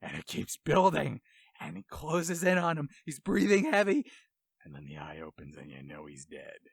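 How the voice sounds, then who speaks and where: A man's voice: on the high side, very animated, moderate pace, gravelly, slightly rough, no room sound, clean studio sound. A man hosting a game music show with enthusiastic, analytical commentary and dramatic storytelling.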